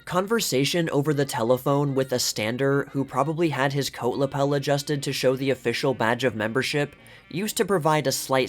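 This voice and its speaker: A man giving a scripted narration, reading aloud from old magazine articles. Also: medium pitch. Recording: music playing behind the voice. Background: background music.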